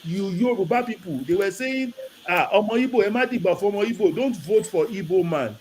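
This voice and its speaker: A man from Nigeria, now living in the UK, calling in to a talk show and telling a lively story, with Yoruba phrases in his speech. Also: high voice, brisk, slight background noise. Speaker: caller. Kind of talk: casual call-in chat. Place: lives in the UK.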